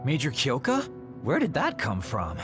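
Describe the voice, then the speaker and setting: A man's voice: mid-range voice, huge pitch swings, moderate pace, music in the background. An actor playing a laid-back, encouraging young male squad mate in an anime video game. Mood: easygoing.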